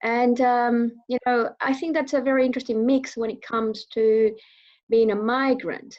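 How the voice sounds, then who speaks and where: A woman's voice: on the high side, fairly even intonation, moderate pace, darkish tone, lo-fi sound. A woman from Venezuela with a Venezuelan Spanish accent, speaking English as a second language, answering interview questions in a hesitant way with many fillers. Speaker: guest speaker.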